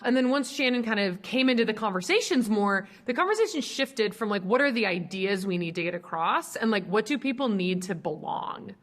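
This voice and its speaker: A woman's voice: mid-range voice, lively intonation, brisk, hardly any pauses, a bit bright. A young American woman from Minnesota answering as an interview guest, talking reflectively in loose, stop-start conversational phrases. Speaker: podcast guest, ministry co-founder.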